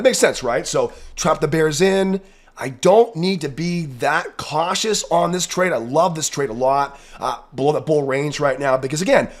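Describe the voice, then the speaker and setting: A hoarse, raspy man with a head cold, walking through trading charts with emphatic commentary and a habit of asking "right?". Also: fairly high pitch. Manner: emphatic, repeats "right?" for confirmation. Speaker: trading educator.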